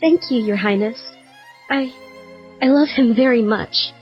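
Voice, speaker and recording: A woman's voice: on the high side, a little slow, dull audio, faint music behind, close to an everyday voice. A woman voicing a meek, nervous pony mare who stammers and pleads, in a fan-made audio drama. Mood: shy, grateful.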